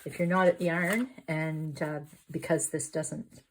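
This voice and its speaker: Middle-aged woman, lowish voice, a bit hoarse from a cold; casual step-by-step sewing tutor. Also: steady tone, normal speed, a little hiss or hum. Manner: chatty, explaining step by step with fillers. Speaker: sewing pattern designer.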